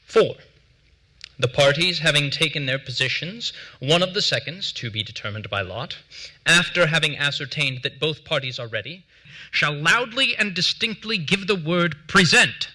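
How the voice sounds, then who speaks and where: An actor playing a formal, grave early American duel second, reading a period account on stage with deliberate, declamatory diction. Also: male voice, on the high side, lively intonation, pushed and bright, exaggerated voice, rough recording. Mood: stern, formal. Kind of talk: theatrical dramatic reading of period documents. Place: theatre stage before a seated audience.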